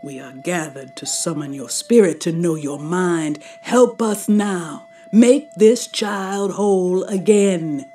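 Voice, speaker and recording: An actress portrays a mystical healer priestess in an audio drama. Her low, mellow voice is solemn and measured, intoning like someone leading a sacred rite. Faint music sits underneath. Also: animated. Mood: solemn, invoking.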